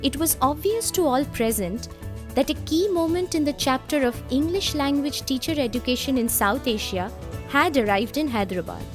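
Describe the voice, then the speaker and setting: A woman giving formal, measured scripted narration for a promotional video. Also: high-pitched, lively intonation, music in the background.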